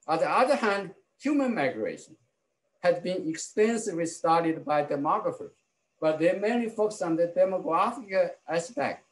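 A middle-aged man delivers a keynote lecture over a video call. His voice sits somewhat high, and he speaks in a measured, halting way, often pausing on fillers and restarting phrases. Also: big pitch swings, poor audio. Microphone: video call webcam.

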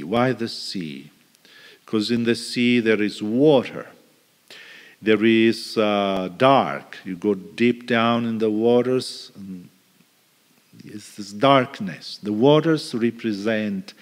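A middle-aged male priest preaching a homily at a lectern in a large church, reflective, with pauses and restarts. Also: lowish voice. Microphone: stand mic at lectern. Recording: reverberant church hall.

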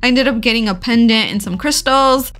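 A woman's voice: a little high, brisk, fairly bright tone, soft background music. A woman narrating her road trip vlog in a casual, chatty way, giggling often and filling her talk with "like". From California. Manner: chatty, giggly, many "like" fillers. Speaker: vlogger.

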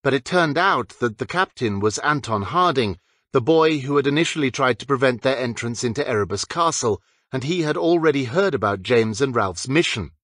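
A male audiobook narrator reads a scripted story with very lively intonation. His medium-pitched voice sits in a dry, echo-free recording. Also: normal speed.

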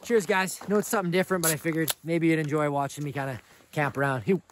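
Young male vlogger, chatty and upbeat, a bit high-pitched, outdoor camera audio with steady background noise. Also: quick pace. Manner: upbeat, chatty, laughs often, addresses viewers as people. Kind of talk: casual vlog while cooking. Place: outdoors in a snowy parking lot by a pickup truck. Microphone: camera mic, handheld and head-mounted point of view.

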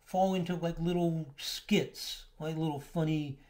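A man talks through a slideshow lecture in an easygoing, explanatory way, stopping here and there on small fillers. His voice sits somewhat high for a man yet sounds warm and mellow rather than bright. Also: a little slow. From United States. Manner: relaxed, explanatory, with filler words. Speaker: astrology lecturer.

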